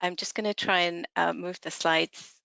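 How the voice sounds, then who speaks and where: Smooth, upbeat woman presenter from Malaysia, dry muffled call audio. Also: lowish voice, steady tone, moderate pace, sharp tone, no room sound. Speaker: head of communications. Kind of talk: webinar presentation. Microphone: video call.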